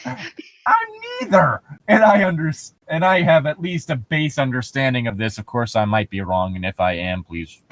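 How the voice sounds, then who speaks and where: A man's voice: medium pitch, sing-song, moderate pace, a bit bright. A man with a mid-range voice delivers casual comedic commentary. He is sarcastic and incredulous, swearing freely as he reads and reacts. The sound is dry and close, with no room echo. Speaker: co-host reading and riffing on internet horror stories. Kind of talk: casual comedic commentary with read-aloud passages.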